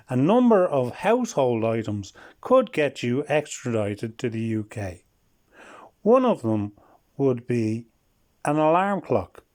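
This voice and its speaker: A man's voice: mid-range voice, a little mellow. Joking man teaching words. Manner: dry, joking, laughs at his own lines. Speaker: channel host teaching Irish. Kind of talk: humorous language lesson vlog.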